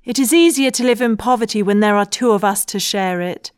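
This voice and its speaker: A narrator voicing a gentle, tearful and devoted lady in audiobook dialogue. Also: medium pitch, brisk, clear, resonant tone, no echo, studio quality, voice pushed up, lightly acted. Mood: tender, concerned.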